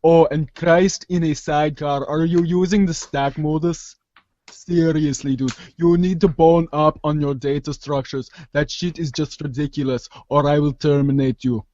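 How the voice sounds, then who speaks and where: A man's voice: on the high side, steady tone, moderate pace, warm tone, highs cut off, lightly acted. A man playing a cocky, slangy teenage boy with a mock Austrian action-hero accent in a comic reader's theater. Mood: smug. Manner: smug, dismissive, slangy.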